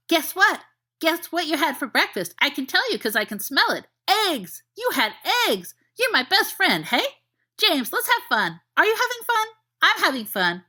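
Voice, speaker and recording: Lady putting on a giddy puppy-brained boy, squeaky and bouncing all over, can't stop yapping. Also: pushed and bright, full character voice. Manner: breathless, gushing, yippy. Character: excitable boy turned half dog, overjoyed.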